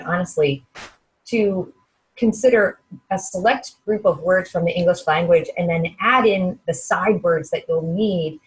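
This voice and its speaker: A woman's voice: medium pitch, poor audio. A woman interviewing a guest, asking friendly, curious questions.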